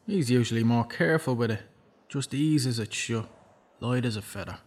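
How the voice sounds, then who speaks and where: A young man acting in an audio drama as a defensive, sardonic prisoner, awkwardly apologetic, terse and halting. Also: medium pitch, a little mellow, close to an everyday voice. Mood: wry, apologetic.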